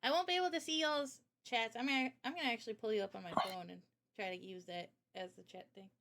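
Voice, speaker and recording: A woman's voice: fairly high pitch, quick pace, noisy background. A woman guesting on a casual livestream as a game developer, with a bubbly, giggly, teasing way of talking. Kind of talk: casual livestream chat and introduction.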